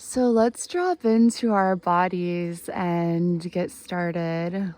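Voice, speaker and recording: A woman in her thirties leading a guided meditation outdoors, speaking gently and earnestly with long pauses. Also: fairly low pitch, a little hiss or hum. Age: 30s. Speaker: guided meditation host, healer. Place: outdoors in the woods by a spring.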